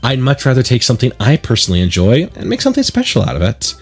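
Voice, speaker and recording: A man's voice: medium pitch, wildly swooping pitch, fast, husky, exaggerated voice. A man voicing a confident, earnest schoolgirl club president from a visual novel, speaking encouragingly. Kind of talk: reading visual novel dialogue.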